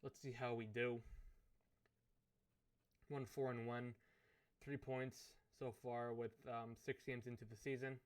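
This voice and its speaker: A man with a hoarse, fading voice, thinking aloud as he commentates a hockey management game. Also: medium pitch, little pitch movement. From Canada. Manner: musing aloud, unhurried, thinking through choices. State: hoarse, losing his voice. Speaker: gaming commentator. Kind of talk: let's play commentary of a management sim.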